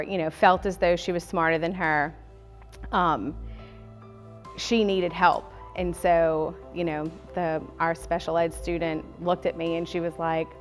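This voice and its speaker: Woman teacher, low, even voice, soft-spoken, faint music behind. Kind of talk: interview anecdote.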